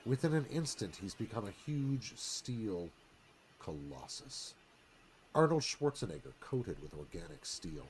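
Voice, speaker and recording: A man's voice: on the low side, very animated, unhurried, a little hiss or hum. A man narrating stage directions in a dramatic, storytelling screenplay read.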